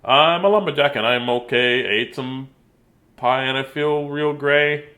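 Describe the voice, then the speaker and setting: A man's voice: mid-range voice, measured pace, bright, forceful tone. Man doing a groaning, overstuffed lumberjack.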